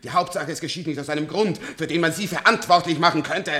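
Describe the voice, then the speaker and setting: A really old bitter man in a sci-fi radio play, sarcastic, sharp tense voice, worn out but defiant. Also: on the high side, fast. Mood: agitated accusation. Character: bitter, sardonic very old man kept alive as an experiment. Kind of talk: German science-fiction radio play acting.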